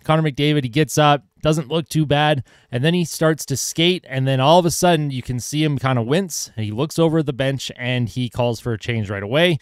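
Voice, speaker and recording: Smooth-voiced man hosting a hockey podcast. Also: fairly high pitch, fairly quick, hardly any pauses, no room sound, clean studio sound. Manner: conversational, filler-heavy, opinionated. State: voice going hoarse. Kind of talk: solo sports commentary podcast.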